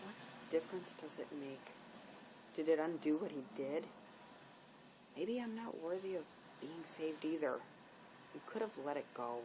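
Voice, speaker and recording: An actress plays a weary woman full of self-loathing. Her voice sits very low, and her words come out bleak and terse. This naturalistic drama has clear background noise from the location. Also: animated, moderate pace, pauses often. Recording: noisy location sound with ambient rumble.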